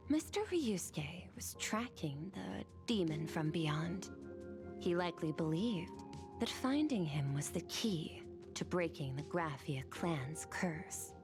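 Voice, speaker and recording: A woman's voice: on the low side, very animated, slow pace. A woman voicing a formal, analytical aide in a video game, precise and deferential as she reports. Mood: careful, deferential. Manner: precise, deferential, matter-of-fact. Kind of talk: scripted video game dialogue. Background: prominent music.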